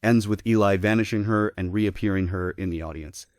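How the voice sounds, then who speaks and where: A man with a deep voice reads stage directions for a play. His scripted narration is recorded dry and close. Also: a little fast, darkish tone, dry recording. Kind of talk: scripted narration at a table read.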